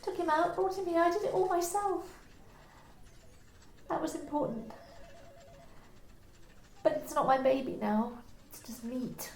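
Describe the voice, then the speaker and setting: An actress playing a grieving young mother and office worker, speaking quietly and haltingly, then breaking into anguished outbursts. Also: sits high, fairly even intonation, vocal fry, reverberant, a little hiss or hum. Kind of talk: amateur radio play reading.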